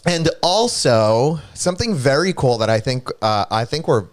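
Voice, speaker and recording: A male streamer with a congested, stuffy nose chats casually with his audience. His tone is smooth and resonant. The sound is dry and close. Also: medium pitch, normal speed, dry recording. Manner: chatty, reads viewer comments aloud, animated asides. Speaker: streamer co-host. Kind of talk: casual live-stream chat.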